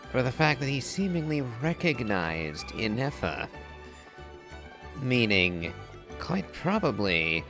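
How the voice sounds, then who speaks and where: A man comments on a game in a fairly low voice. He is slow and measured, musing at length in a casual way, with music clearly playing behind him. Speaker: game streamer.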